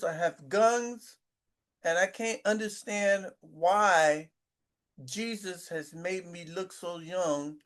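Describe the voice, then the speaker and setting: Older guy in his 60s bragging, stumbly and repetitive, kinda high voice. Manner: boastful, repeats himself, stumbles on words. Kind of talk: casual chat.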